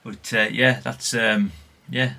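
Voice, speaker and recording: A man's voice: mid-range voice, level tone, slow pace, creaky, bright, forceful tone. A middle-aged British man talking casually in a rambling, chatty show-and-tell way, often correcting himself and adding tag questions. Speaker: hobbyist video host.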